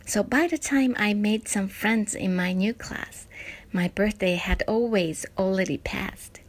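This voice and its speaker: A woman's voice: mid-range voice, measured pace. Woman. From Japan. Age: 40s. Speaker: presenter of a personal parenting essay. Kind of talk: scripted personal narration.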